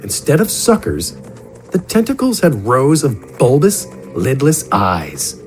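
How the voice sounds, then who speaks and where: A man narrating a scripted fantasy story in a dramatic, deliberate storytelling manner. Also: mid-range voice, huge pitch swings, soft background music.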